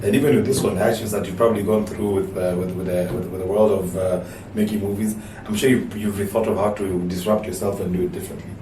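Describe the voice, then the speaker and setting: A man with a Kenyan accent hosting a casual interview, encouraging his guest and often chiming in with quick agreement. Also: deep voice, flat delivery. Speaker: talk show host. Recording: roomy, distant mic, faint noise.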